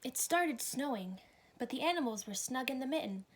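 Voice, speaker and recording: High-voiced woman reading a children's story. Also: lively intonation, moderate pace, slight background noise. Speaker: storybook reader. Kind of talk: children's story read aloud.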